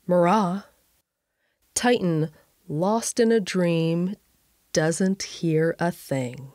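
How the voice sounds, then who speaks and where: Low-pitched woman narrating a wry story. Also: extremely slow, a little mellow, no echo, studio quality. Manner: wry, conversational asides. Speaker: narrator and writer of a sci-fi audio series.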